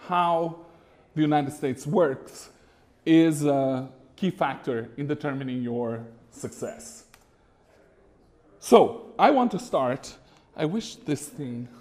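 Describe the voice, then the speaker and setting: A middle-aged man from Brazil giving a masterclass presentation to a live audience in a small room, animated and conversational with frequent fillers. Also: mid-range voice. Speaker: business consultant lecturer. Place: small lecture room with a screen.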